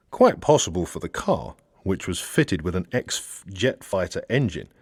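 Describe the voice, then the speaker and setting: A man reading a satirical novel as an audiobook narrator, in a measured storytelling way with expressive emphasis. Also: low voice, no room sound, clean studio sound.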